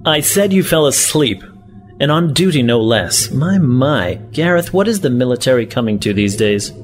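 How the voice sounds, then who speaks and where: An actor playing a condescending, impatient professor villain in an audio drama, sardonic and falsely friendly. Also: male voice, medium pitch, animated, moderate pace, natural voice. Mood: smooth, mock-friendly. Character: scheming, impatient male professor, coldly menacing villain. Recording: music bed under the voice.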